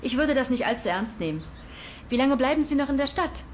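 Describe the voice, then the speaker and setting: An actress playing a sociable, forthcoming Danish diplomat who chats as a neighbour in a period film. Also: female voice, high voice, animated, a little fast, a little hiss or hum. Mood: explaining, candid. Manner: chatty, forthcoming. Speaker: film actress in a supporting part.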